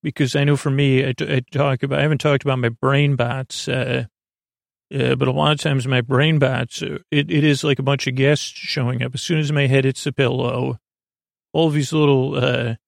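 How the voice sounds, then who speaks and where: A man with a creaky voice hosting a sleep podcast, meandering haltingly through a goofy, self-deprecating bedtime monologue. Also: mid-range voice, flat delivery, no echo, studio quality. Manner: meandering, halting, trails off and repeats himself. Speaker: podcast host. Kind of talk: rambling bedtime monologue for falling asleep.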